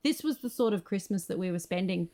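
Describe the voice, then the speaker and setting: A woman's voice: mid-range voice, lively intonation, fast, dark tone. A woman with an Australian accent telling stories in a conversational interview, enthusiastic and emphatic. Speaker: screenwriter and actor, interview guest.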